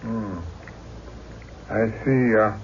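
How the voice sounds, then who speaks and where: An actor playing a calm, dutiful frontier deputy in an old-time radio drama, terse and official. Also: male voice, on the low side, very slow. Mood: grave, official. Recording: dull, lightly noisy radio recording.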